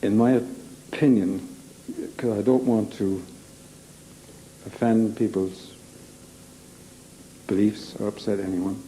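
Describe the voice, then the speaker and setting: Male giving personal testimony: very slow, low, halting delivery, emphatic repeats, faint ambience behind. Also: fairly even intonation, warm tone, slight background noise, poor audio. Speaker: interviewee giving a personal account.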